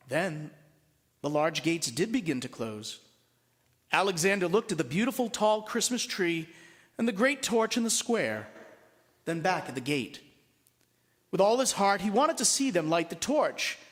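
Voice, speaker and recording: A priest, a man, reading a story aloud with expressive, deliberate storybook narration. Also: high-pitched. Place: large church at a Mass. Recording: long reverberant hall.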